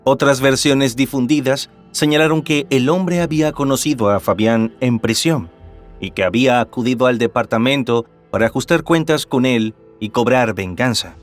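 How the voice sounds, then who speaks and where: A man narrating a true-crime documentary from a script in a measured, formal way. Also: mid-range voice. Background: background music.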